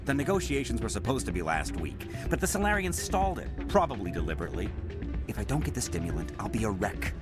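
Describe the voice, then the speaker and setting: A man's voice: on the low side, sing-song, fast talker. An actor playing a pushy, desperate male negotiator in a video game, agitated and pleading as he justifies himself. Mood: insistent. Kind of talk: video game dialogue acting. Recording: music playing behind the voice. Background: loud music.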